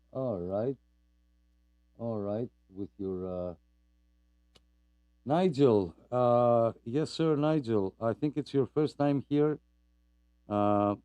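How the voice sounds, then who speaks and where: A man's voice: medium pitch, warm tone, clean tone, dry recording. A man from Greece hosting a weekly radio net, rambling and chatty with lots of fillers and apologies for talking too much. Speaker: net host. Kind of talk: casual radio net chat.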